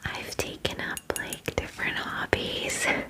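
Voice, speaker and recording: A woman voicing a bubbly, friendly female dragon in an ASMR fantasy roleplay, chatting in a soft, breathy, girly whisper with casual filler words. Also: measured pace, lightly acted. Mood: chatty, wistful.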